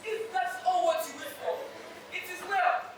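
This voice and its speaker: A performer with a Nigerian accent playing a solemn, self-assured male royal leader in a stage play, declaiming deliberately. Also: extremely high pitch, fairly even intonation, far from the mic. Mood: warning. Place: stage hall, distant mic. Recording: roomy, noisy stage recording from afar.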